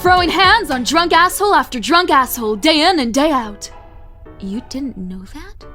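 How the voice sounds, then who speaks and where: Woman acting gushing high-pitched glamorous flirt. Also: lively intonation, pushed and bright. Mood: amused, admiring. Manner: effusive, teasing, drawn-out flourishes. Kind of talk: audio drama acting. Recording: music under the voice.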